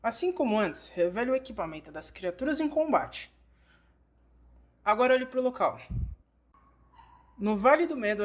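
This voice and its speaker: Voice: medium pitch, a bit bright. A narrator with a Brazilian Portuguese accent, reading a scripted game tutorial and instructively addressing the learner directly.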